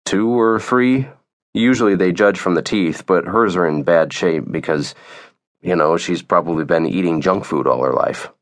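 A man's voice: deep voice, normal speed. A man voicing an easygoing, good-hearted dad with a coaxing, reassuring manner in an audiobook. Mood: casual.

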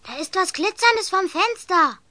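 High, bright, stubborn little girl. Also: expressive, measured pace, dry recording, full character voice. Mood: excited, amazed. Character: young girl sick in bed, curious and stubborn. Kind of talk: children's audio play acting.

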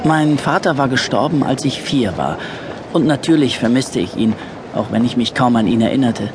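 A man's voice: medium pitch, lively intonation, moderate pace, background noise, natural voice. A man acting a wry, resentful young narrator looking back on boyhood in a scripted audio drama.

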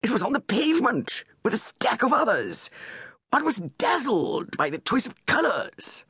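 Woman narrator doing a fussy upper-class older man, plummy and refined, with an animated pitch. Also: normal speed, husky, no room sound, studio quality, full character voice. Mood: genteel. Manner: plummy, mildly tetchy.

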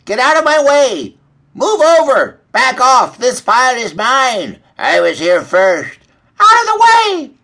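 A man voicing an agitated female hen for a children's story, in a shrill, squawking, exclaiming manner. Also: measured pace, pushed and bright, full character voice. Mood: bossy, squabbling.

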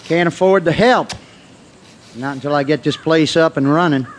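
An actor playing a gruff, suspicious, hardworking farm husband in an audio drama, with a folksy, plainspoken manner. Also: male voice, on the high side, normal speed, close to an everyday voice. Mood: suspicious, testy. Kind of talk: naturalistic audio drama acting. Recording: noisy outdoor farm ambience with crows.

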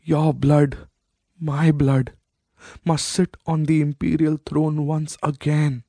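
A man reading a story voices a proud, vengeful old queen, dying, with a feeble hoarse rasp. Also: fairly high pitch, flat delivery, takes it slowly, warm tone, no echo, studio quality, voice pushed up. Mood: insistent.

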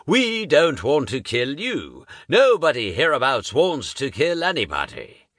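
A man's voice: mid-range voice, wildly swooping pitch, measured pace, a bit bright, no room sound, studio quality, pitched above the performer's own voice, heavily acted. A man voicing a bold, fervent preacher who harangues a monster with sermons and insults, his voice almost singing. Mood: pleading. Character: bold fire-and-brimstone male preacher, booming.

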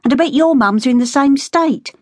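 A woman's voice: a little fast, a little mellow, dry recording, clean studio sound, lightly acted. A woman doing an insistent nineteen-year-old girl. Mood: scolding. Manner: insistent, raised pitch. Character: young woman, nineteen, determined and outspoken.

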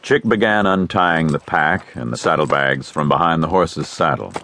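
A man narrating a scripted western story in a measured storytelling manner. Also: a bass voice, vocal fry, bright, forceful tone, a little hiss or hum. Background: guitar music.

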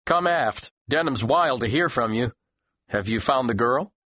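A man playing a brisk young first mate on a ship in an audiobook drama. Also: medium pitch, animated, bright, forceful tone, breathy edge, no echo, heavily acted. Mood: brisk, welcoming. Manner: brisk, calling out plainly. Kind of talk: full-cast audiobook acting. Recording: dull, slightly muffled audio.

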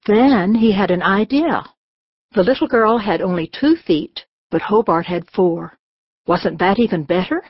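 A woman reading a children's storybook as an audiobook narrator, in an expressive storytelling manner. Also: medium pitch, measured pace, slightly rough, no room sound. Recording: dull, lo-fi audiobook sound.

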